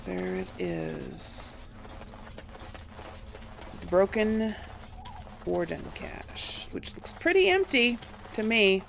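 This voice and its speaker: Slow-talking woman, slightly creaky gamer. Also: mid-range voice, wildly swooping pitch, background noise. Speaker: gaming video host. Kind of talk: casual let's play commentary.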